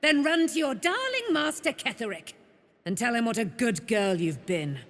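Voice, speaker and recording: A woman's voice: fairly high pitch, sing-song, normal speed, fairly bright tone, dry recording, clean studio sound. An actress playing a proud, immortal holy warrior woman in a fantasy game, speaking in grand, solemn, formal phrases. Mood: scornful. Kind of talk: theatrical fantasy game voice acting.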